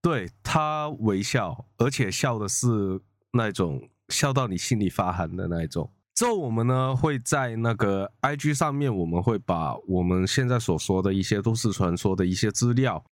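A man with a fairly low, very animated voice. He talks casually as a podcast co-host, explaining and telling stories in a closely recorded, dry sound. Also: normal speed, no room sound. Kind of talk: casual chat and storytelling about urban legends.

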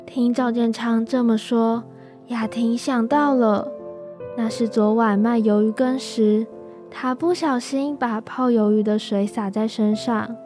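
A teenage young woman narrates a scripted radio story. Her voice is fairly high, with faint music underneath. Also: steady tone, measured pace, mellow. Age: high school student.

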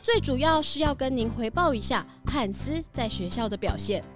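Casting: woman voicing a polite cartoon bear teacher, high and animated, formal yet reassuring, music underneath. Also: moderate pace, natural voice. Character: polite, apologetic bear schoolteacher. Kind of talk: children's audio drama acting.

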